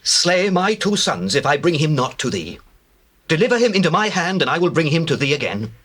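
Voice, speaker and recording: An actor playing a vehement, reproachful eldest brother in a dramatized scripture, declaiming with force. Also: male voice, on the high side, very animated, brisk, fairly bright tone. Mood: pleading. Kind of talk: dramatized scripture, theatrical acting.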